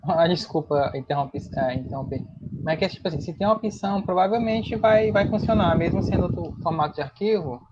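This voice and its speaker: Very high-voiced Brazilian man, muffled noisy call. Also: fairly quick. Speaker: online talk participant. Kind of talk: casual technical explanation.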